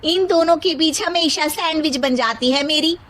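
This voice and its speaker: Young cartoon daughter-in-law acted by a woman: very high, shrill, touchy, forever complaining. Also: moderate pace, fairly bright tone. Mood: indignant.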